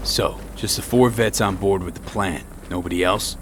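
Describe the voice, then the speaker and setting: An actor playing a gruff, skeptical streetwise mercenary in a video game, talking in a dry, clipped way. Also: male voice, low voice, steady tone, normal speed, far from the mic, a little hiss or hum.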